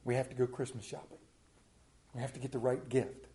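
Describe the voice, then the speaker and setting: A man's voice: medium pitch, little pitch movement, brisk, warm tone, a little hiss or hum. A middle-aged man with an Appalachian accent, a television pastor preaching a sermon in a folksy, storytelling way full of rhetorical questions. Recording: roomy echo.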